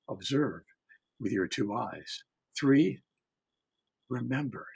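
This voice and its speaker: An older man speaking as a storyteller on a panel, reflective and deliberate, pausing between phrases. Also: mid-range voice, vocal fry, breathy edge, poor audio.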